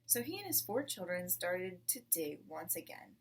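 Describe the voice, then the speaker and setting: A woman reading a children's book aloud in an expressive, deliberate storytelling manner. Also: fairly low pitch, far from the mic, a little hiss or hum. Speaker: storybook reader.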